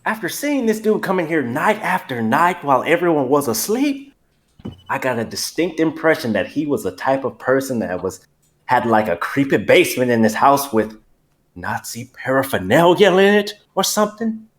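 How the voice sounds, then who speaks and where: A man's voice: mid-range voice, big pitch swings, moderate pace, close to an everyday voice. A man playing an opinionated, suspicious late-night cashier in a dramatic script reading, with an insistent, gossipy manner. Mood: convinced.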